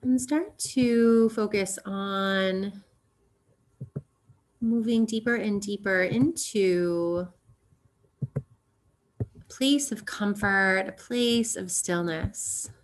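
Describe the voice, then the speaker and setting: A woman teaching yoga nidra, guiding a relaxation meditation in a gentle, soothing, unhurried way with long pauses. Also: mid-range voice.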